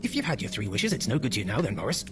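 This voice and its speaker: A man's voice: fairly low pitch, very fast, background noise, voice pushed up. A man voicing an eager, curious elderly British father in a dramatic horror story reading. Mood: probing. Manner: chatty, lively, inquisitive.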